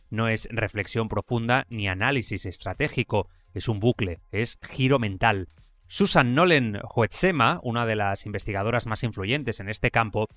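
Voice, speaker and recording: A man's voice: mid-range voice, a bit bright, no room sound. A man with an accent from Spain presenting a scripted science explainer as podcast host.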